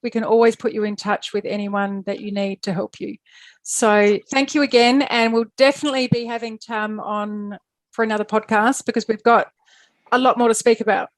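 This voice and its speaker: Woman, podcast host, fairly high voice, encouraging, dry recording. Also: little pitch movement, no room sound. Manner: encouraging, quick affirmations. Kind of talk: podcast interview.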